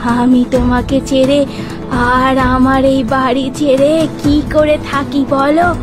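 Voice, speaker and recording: A woman playing a village wife turned clinging ghost in an audio horror story, with a drawn-out delivery. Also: high voice, little pitch movement. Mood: eerie, coaxing. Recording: loud music under the voice.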